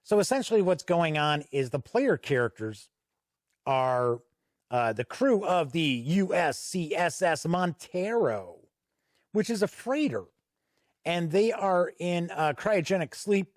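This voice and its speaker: A man hosting an unscripted review walk-through, explaining things in a measured way with deliberate pauses. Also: a little high, expressive, no echo, clean studio sound. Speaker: host and reviewer.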